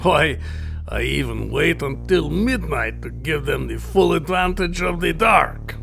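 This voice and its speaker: An actor playing a genteel, sadistic villain hunter in an audio drama, speaking with courtly, condescending politeness and a gravelly creak. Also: male voice, fairly high pitch, animated, measured pace, fairly bright tone, soft background music, exaggerated voice. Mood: gloating, amused. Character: genteel, sinister male villain, sadistic aristocratic big-game hunter.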